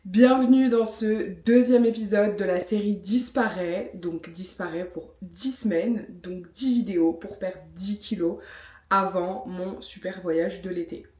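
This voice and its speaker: A woman's voice: medium pitch, a little slow, darkish tone. Chatty French woman in an echoey room. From France. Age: 30s. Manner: chatty, self-mocking, many fillers. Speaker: vlogger. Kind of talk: casual vlog talk with product review.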